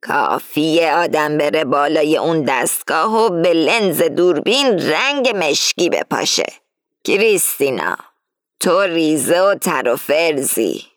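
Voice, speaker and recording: A woman reading as an older female gang leader who lays out a heist plan firmly and deliberately. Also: deep voice, lively intonation, no echo, studio quality. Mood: scheming, instructing. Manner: firm, explaining slowly and deliberately.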